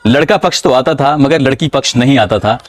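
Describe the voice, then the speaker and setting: A man narrates a true-crime story in a measured, dramatic storytelling manner. His voice sits at a middle pitch. The recording is dry and close, with no room sound. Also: dry recording.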